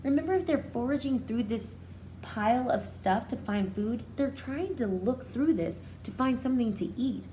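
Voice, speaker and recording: Female preschool educator for picture-book read-aloud. Expressive, very varied intonation, mellow tone, explains simply. Noticeable background noise. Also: on the high side, normal speed, rough recording. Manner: expressive, simplifies and repeats for young listeners. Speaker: educator reading aloud to young children. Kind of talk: children's storybook read-aloud with explanatory asides.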